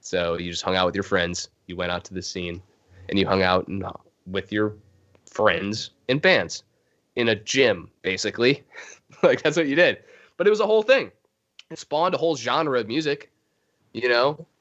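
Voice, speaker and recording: A man's voice: fairly low pitch, normal speed, fairly bright tone. A man with a Chicago accent, a drummer guest chatting casually in an interview, rambling with frequent chuckles. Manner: rambling, laid-back, chuckles often. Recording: muffled lo-fi video call audio.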